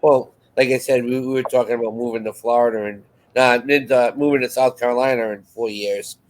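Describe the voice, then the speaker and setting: A man's voice: mid-range voice, fairly even intonation, a little fast, low-quality recording. Casual rambling man in easy conversation. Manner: rambling, repeats and restarts phrases. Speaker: co-host of a live chat show. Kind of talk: casual chat.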